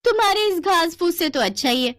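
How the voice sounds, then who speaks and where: A woman puts on a jealous young city bride in a cartoon tale. She is high and very animated, scornful and bickering, in a dry recording. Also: fairly quick, no echo.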